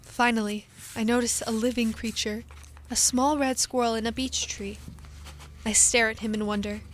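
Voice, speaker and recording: A woman playing a weary, haunted professor who survived an invasion, speaking solemnly and deliberately in a radio drama. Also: a little high, flat delivery, a little hiss or hum, natural voice, low-quality recording. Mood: watchful, somber. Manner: solemn, literary, deliberate narration. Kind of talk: dramatic radio play monologue and dialogue.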